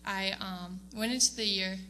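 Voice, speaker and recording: Bright-voiced young woman speaking in church. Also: mid-range voice, fairly even intonation, moderate pace, slight background noise. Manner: hesitant, many ums, laughs often. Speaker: student giving award speech. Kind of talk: casual speech to a church congregation. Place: church sanctuary.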